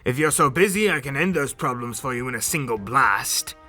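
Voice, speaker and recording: A man's voice: mid-range voice, animated, brisk, sharp tone, faint music behind. A man playing an arrogant, cruel alien warrior prince in a fan audio drama, haughty and taunting. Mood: impatient contempt.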